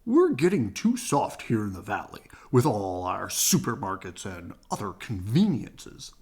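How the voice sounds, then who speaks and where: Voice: mid-range voice, very animated, a little mellow. Storyteller putting on hearty, overconfident papa bear. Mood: dreamily excited. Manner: grand, enthusiastic, drawn-out dreamy descriptions.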